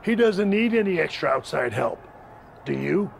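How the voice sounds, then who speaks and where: An actor playing a scheming, condescending wrestling faction leader in a game cutscene, speaking in a measured, stern, gravelly way. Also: male voice, a little high, expressive, a little hiss or hum. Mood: calm, persuasive.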